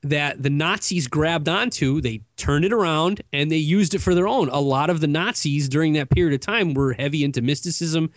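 A man's voice: on the high side, normal speed, few pauses, no room sound. A man in a casual podcast chat, explaining at length and correcting the others, sighing when frustrated. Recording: dull lo-fi sound.

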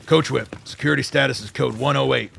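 An actor playing a stern, angry army colonel in a radio play, with a gravelly voice and clipped, commanding delivery. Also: male voice, mid-range voice, fast talker, fairly bright tone, slight background noise. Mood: terse, official.

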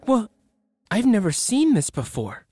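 A man acts out a curious scholar in a fantasy audio drama, talking to himself at an unhurried pace. His reactions are expressive and dramatic, and the sound is dry and close. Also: sits high, slightly rough, no room sound, exaggerated voice. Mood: bored, curious. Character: curious, bookish male scholar muttering to himself.

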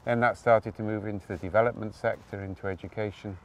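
Low-voiced Welsh-raised man outdoors. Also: far from the mic. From Wales. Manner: animated storyteller, brisk asides, tag questions. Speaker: guest. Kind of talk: outdoor interview, career story. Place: outdoors on open hills.

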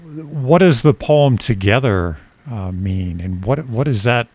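A man hosting a conversational interview, putting his questions in a tentative, halting way. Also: mid-range voice, expressive, a little mellow, breathy edge, dry recording. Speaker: interviewer, show host.

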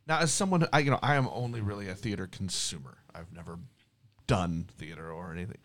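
A man's voice: low voice, fast talker. A man co-hosting a podcast, asking questions hesitantly with frequent restarts. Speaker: podcast co-host. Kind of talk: podcast interview.

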